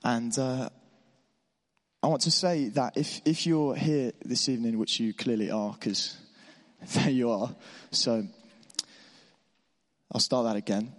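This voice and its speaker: A man preaching a sermon in church, encouraging and informal, his voice a little hoarse from being unwell. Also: medium pitch, fairly even intonation, slow pace, long pauses. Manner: warm, encouraging, informal with asides. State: slightly hoarse voice. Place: church hall. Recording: long hall reverb.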